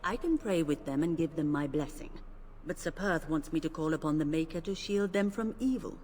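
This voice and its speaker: An actress playing a devout, principled priestess who speaks in a solemn, measured way. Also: female voice, low voice, a little mellow, slight background noise, natural voice. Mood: worried. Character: devout older female priestess, gentle but principled. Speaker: game character. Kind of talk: video game voice acting. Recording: game audio with faint ambience.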